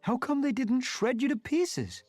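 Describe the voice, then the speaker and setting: A man putting on a lazy, squeaky house tomcat. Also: big pitch swings, unhurried, darkish tone, dry recording, soft background music, heavily acted. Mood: eager. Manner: high eager squeaky mewing.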